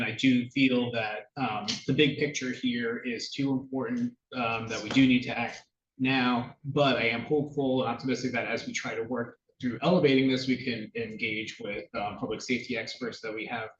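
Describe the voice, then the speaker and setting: A man who is a council member, speaking in a measured, diplomatic way with many uhs during a council meeting. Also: mid-range voice, a bit bright, a little hiss or hum. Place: council chamber. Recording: echoey chamber, distant dull mic.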